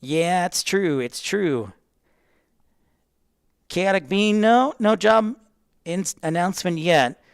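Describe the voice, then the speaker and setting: A man's voice: sits high, animated, slow, vocal fry, long pauses, clean tone, dry recording, studio quality. A man hosting a livestream, casually explaining online tools step by step and chatting directly with viewers in an informal way. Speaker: livestream host teaching plane-spotting tools.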